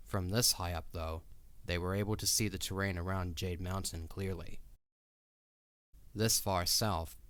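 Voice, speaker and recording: A man's voice: sits low, a bit bright, no room sound. A man narrating a fantasy audio drama as a scripted storyteller.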